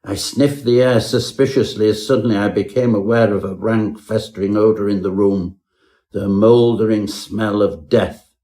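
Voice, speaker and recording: A male reader plays a self-important Edwardian gentleman scholar. His voice sits on the low side. He tells a mock-Victorian ghost story with florid, melodramatic relish, as an audiobook narrator. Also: steady tone, normal speed, close to an everyday voice. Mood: haunted dread. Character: pompous Edwardian professor narrating his own horror tale.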